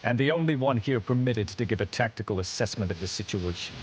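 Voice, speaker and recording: An actor playing a stern, dutiful space fleet admiral in a video game, with formal military diction. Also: male voice, lowish voice, animated, quick pace, slight background noise, close to an everyday voice. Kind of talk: video game cutscene acting.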